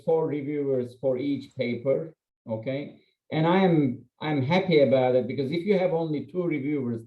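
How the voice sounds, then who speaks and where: A man from Turkey, a non-native English speaker and journal editor, presenting an academic webinar in a candid, self-deprecating, conversational way with frequent fillers. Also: medium pitch. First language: Turkish. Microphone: computer mic on video call. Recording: echoey, slightly muffled video-call audio.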